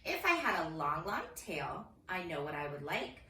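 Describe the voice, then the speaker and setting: A woman reads a picture book to children with big, swooping expression and a bright, forceful voice. She takes her time and plays up the silliness. The room rings a little around her. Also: low voice, normal speed. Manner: silly, theatrical, expressive. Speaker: teacher reading story time. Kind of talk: animated children's storybook read-aloud. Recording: roomy echo with faint background noise.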